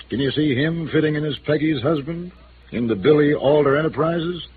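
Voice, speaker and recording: A man's voice: fairly high pitch, moderate pace, natural voice. Man playing wealthy middle-aged patriarch, stern, formal, clipped, 1950s radio drama, narrow noisy recording. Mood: scornful. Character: wealthy, fearful, controlling middle-aged father and businessman.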